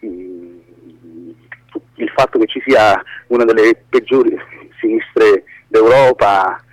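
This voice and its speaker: A man's voice: on the low side, dry recording, rough recording. A man speaking as an interview guest in an informal, hesitant way, repeating words as he searches for the next. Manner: hesitant, halting, repeats words, casually profane.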